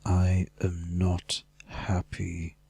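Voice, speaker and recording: Man voicing a low, slow, menacing dragon brute. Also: monotone, gravelly, mellow, husky, dry recording, full character voice. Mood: cold anger. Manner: slow, deliberate, threatening. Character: brutish, menacing male dragon in rabbit form.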